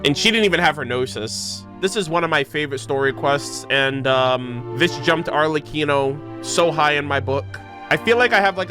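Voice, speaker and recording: A young man giving casual, slangy, sweary gaming reaction commentary as a streamer on a close mic. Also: a little high. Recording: game music playing behind the voice.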